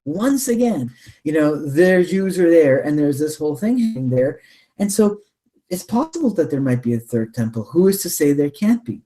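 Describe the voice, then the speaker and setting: A man's voice: fairly high pitch, very animated, normal speed, dark tone. A man giving an extended explanatory talk as a guest, speaking emphatically, posing rhetorical questions and repeating phrases for weight. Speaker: interview guest, researcher.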